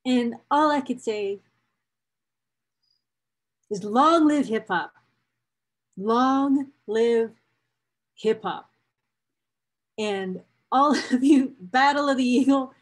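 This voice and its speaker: Slow-paced, higher-voiced woman reading aloud emphatically. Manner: emphatic, repeats phrases for effect; enthusiastic, emotionally invested. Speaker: presenter reading a book excerpt aloud. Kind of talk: book reading with casual asides to viewers.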